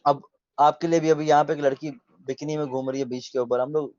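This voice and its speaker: A man in his thirties in a casual video chat, relaxed and opinionated, slipping English phrases into his talk. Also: a little high, fairly quick, dry recording. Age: 30s. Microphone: video call mic. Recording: dull lo-fi video call audio.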